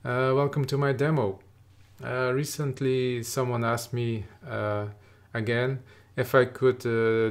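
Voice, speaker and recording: A man in his forties from Flanders with a Flemish Dutch accent, teaching watercolor in halting English full of "uh" fillers, speaking into a webcam in an attic studio. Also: mid-range voice, smooth. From Belgium (Flanders). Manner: halting, many "uh" fillers, searching for words. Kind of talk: hesitant tutorial narration. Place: attic studio with wooden beams.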